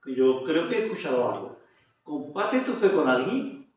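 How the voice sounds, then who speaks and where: A man's voice: fairly high pitch, very animated, normal speed, very echoey, distant mic, a little hiss or hum, rough recording. A man with an Andalusian Spanish accent hosting an evangelical TV interview, chatty and affirming, with frequent amens. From Spain.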